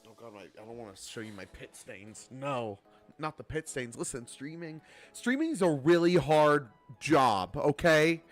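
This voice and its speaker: A man's voice: medium pitch, lively intonation, a little slow. Playful young man streaming a game over music. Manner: playful mock-indignant banter, swears freely. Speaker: game livestreamer. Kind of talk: casual livestream chat while gaming.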